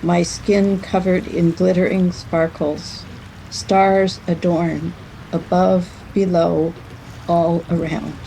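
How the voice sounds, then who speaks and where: Slow, mellow, creaky older woman reading prose. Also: on the low side, level tone, a little hiss or hum, poor audio. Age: over 60. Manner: measured, deliberate phrasing. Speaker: writer reading own work. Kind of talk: literary reading of a prose memoir.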